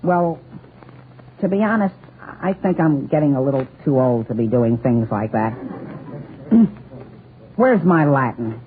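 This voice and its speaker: A man's voice: mid-range voice, lively intonation, slow, long pauses. A man playing an anxious, well-meaning teenage boy in 1940s radio sitcom style, hesitant and flustered. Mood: sheepish. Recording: tinny, noisy vintage radio recording.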